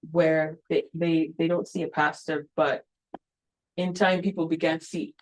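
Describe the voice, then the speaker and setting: A woman's voice: low-pitched, flat delivery, measured pace, darkish tone, low-quality recording. A woman from Kenya, a missionary planting a church, speaking earnestly at a mission event with many ums and you knows.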